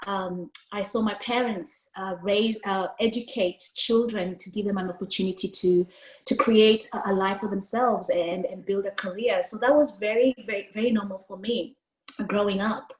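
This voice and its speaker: A woman from Kenya speaks with a Kenyan accent, her first language Swahili. She tells her life story in a lively, expressive way, talking with her hands. Her voice sits mid-range and comes through a video call with a roomy echo. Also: medium pitch, moderate pace. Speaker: guest speaker. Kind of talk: storytelling interview answer. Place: room at home, indoors.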